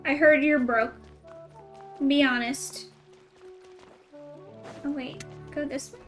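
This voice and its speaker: High-pitched woman streaming a video game. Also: fairly even intonation, takes it slowly, fairly bright tone, faint music behind. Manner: chatty, playful, reacting aloud and talking to herself. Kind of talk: casual gameplay commentary.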